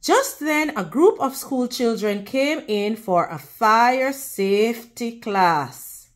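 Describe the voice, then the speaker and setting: A woman reads a picture book aloud for young children. Her voice sits a little high and swings widely in pitch. She goes very slowly and deliberately, teacher-style, leaving pauses around her questions. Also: expressive. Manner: slow, deliberate, teacherly, pausing to ask questions. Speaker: children's read-aloud host.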